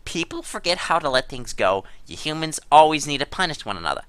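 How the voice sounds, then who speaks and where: Up close and dry, a man acts out an aggrieved gamer with a bright, forceful voice. The delivery is theatrical and indignant, swinging widely in pitch as he defiantly denies everything. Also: big pitch swings, a little fast, clear, resonant tone, no room sound. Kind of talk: dramatic reading of online chat drama.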